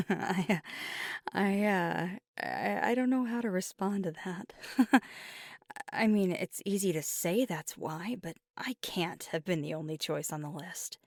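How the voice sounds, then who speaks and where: A woman playing an earnest, conflicted young werewolf girlfriend in an intimate ASMR roleplay, speaking softly and candidly, often near a whisper, close to the mic. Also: lowish voice, dry recording. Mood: flustered, humble. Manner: deliberate, candid, casually profane. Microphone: close microphone, dry.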